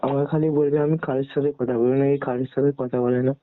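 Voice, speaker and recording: A young man, talking casually and haltingly on a private call, circling around his questions. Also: medium pitch, little pitch movement. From West Bengal, India. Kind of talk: casual private phone conversation. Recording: narrow tinny phone line with noise.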